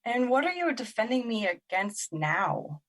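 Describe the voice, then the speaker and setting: Woman acting vulnerable, husky, high-voiced young woman. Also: sing-song, a little slow, a bit bright, heavily acted. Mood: puzzled. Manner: questioning, emotionally exposed. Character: Olivia, confused, weary, vulnerable young woman finding courage. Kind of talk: emotional audio drama acting.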